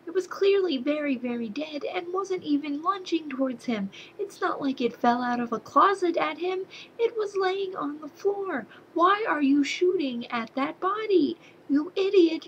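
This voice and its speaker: A woman's voice: high-pitched, a little slow, lo-fi sound, slight background noise. A young woman with a slight Southern accent reviewing a horror movie in a casual, sarcastic way, ranting with exasperation at the characters. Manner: sarcastic, exasperated, animated rants.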